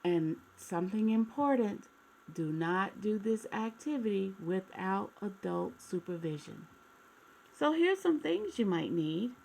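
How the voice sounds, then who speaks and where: Female children's storyteller: unhurried delivery, creaky edge, expressive read-aloud that speaks straight to young listeners. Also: medium pitch, slight background noise, poor audio. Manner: expressive, addresses children directly with questions.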